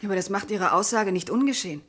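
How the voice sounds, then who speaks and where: A woman's voice: mid-range voice, animated, fast talker, a bit bright. An actress playing an anxious, loyal woman, earnest and pleading, in a classic crime radio play. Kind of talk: classic German crime radio drama acting.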